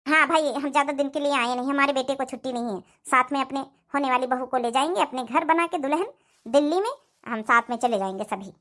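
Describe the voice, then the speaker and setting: A woman's voice: high-pitched, fast, fairly bright tone. A woman voicing a polite but choosy prospective mother-in-law, matter-of-fact, in a cartoon comedy. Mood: approving.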